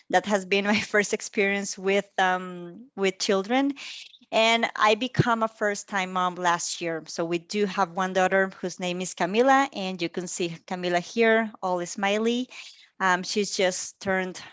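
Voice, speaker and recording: A woman in her thirties, from Costa Rica, talks through slides for colleagues on a video call. Her pitch sits mid-range for a woman, and she fills pauses with a lot of ums. The sound is dry and close, with no room around it. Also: clean tone, no echo. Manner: warm, chatty, filler-heavy with frequent ums. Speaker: guest speaker. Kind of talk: online presentation to colleagues.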